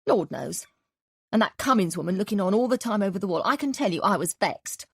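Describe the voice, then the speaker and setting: A woman reading an audiobook, voicing an angry, shrill, indignant older landlady. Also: animated, fairly quick, creaky, husky, no echo, studio quality.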